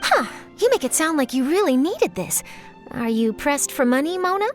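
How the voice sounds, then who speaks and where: An eager young newspaper reporter in an anime game. The voice is very high and animated, quick-witted and theatrical, with light music behind. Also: female voice. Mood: teasing, gleeful. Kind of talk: anime video game voice acting.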